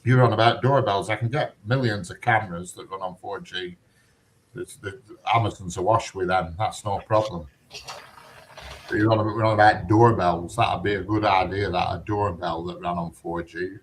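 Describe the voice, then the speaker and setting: A man's voice: sits low, fairly even intonation, moderate pace, slight background noise, rough recording. A middle-aged man, rambling and cheeky in casual chat. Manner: rambling, chatty, dialect-heavy, cheeky. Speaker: conversation partner.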